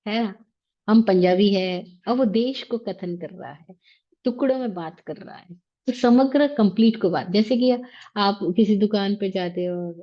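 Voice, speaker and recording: Woman scripture teacher, lecture, chatty and questioning, slightly muffled lo-fi sound. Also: medium pitch. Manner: conversational, rhetorical questions, mixes English phrases. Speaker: Jain religious teacher.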